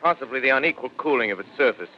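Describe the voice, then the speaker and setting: An actor playing a professor in a radio drama, giving calm, measured explanations. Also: male voice, medium pitch, lightly acted. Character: measured male astronomy professor. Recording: dull lo-fi radio recording, faint hiss.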